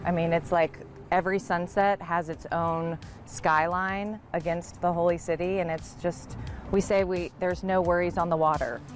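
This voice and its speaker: Young woman with a lowish voice. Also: steady tone, moderate pace. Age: young adult. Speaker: charter business co-owner. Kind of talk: casual on-camera interview. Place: outdoors at a marina dock. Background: music.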